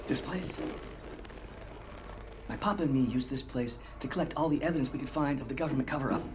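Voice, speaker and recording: An actor playing a manic, foul-mouthed middle-aged American conspiracy theorist in a video game, rambling and excitable. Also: male voice, mid-range voice, a little fast, noisy background, close to an everyday voice. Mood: conspiratorial, intense. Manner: rambling, excitable, sweary, emphatic. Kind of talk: video game cutscene acting.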